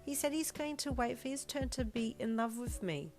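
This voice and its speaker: A woman voicing an earnest, tearful little girl who squeaks and stutters. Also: lively intonation, normal speed, a little mellow, faint music behind.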